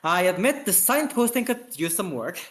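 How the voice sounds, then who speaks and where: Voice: mid-range voice, very animated, normal speed, a bit bright, voice pushed up. An amateur reader as a cocky, carefree female space captain. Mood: nonchalant.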